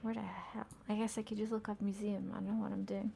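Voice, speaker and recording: Young woman streamer, low-key gameplay chatter; mellow, fry-edged, soothing, half-whispered asides. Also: flat delivery, quick pace, slight background noise. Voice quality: soothing soft voice. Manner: murmuring to herself, often dropping to a whisper. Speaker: game streamer. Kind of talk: casual gameplay commentary.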